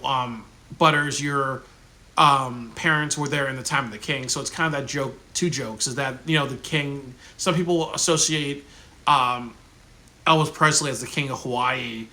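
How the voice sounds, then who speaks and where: A man's voice: mid-range voice, bright, forceful tone. A man giving a casual, rambling spoken review, full of fillers like "um" and "you know" and frequent restarts. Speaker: video reviewer.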